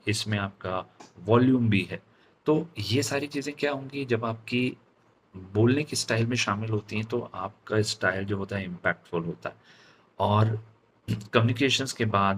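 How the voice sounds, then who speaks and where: A man with an Indian accent and a fairly low voice teaches like a public speaking coach. He moves in deliberate, halting phrases and often checks in with short tag questions. There is faint noise behind him. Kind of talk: instructional lecture on voice modulation.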